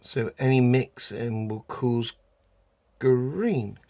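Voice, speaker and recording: A man from the UK with a croaky voice, chatting casually as he explains a resin craft project step by step. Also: mid-range voice, warm tone, dry recording. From United Kingdom. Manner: unhurried, chatty, talks while doing hands-on craft work. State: croaky voice, clearing throat. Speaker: resin art vlogger. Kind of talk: casual how-to narration while working.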